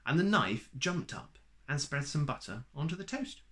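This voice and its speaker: A man reading a children's picture book aloud in a slow, playful storytime manner, adding little asides to listeners. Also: on the high side, big pitch swings, a bit bright.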